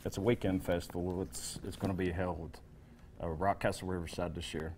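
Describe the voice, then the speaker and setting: Very low-voiced laid-back musician. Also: male voice, little pitch movement, a little fast, darkish tone, a little hiss or hum. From Kentucky. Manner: brief, laid-back answers with hesitations. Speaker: musician guest. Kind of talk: studio interview answers. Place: TV studio. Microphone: stand mic.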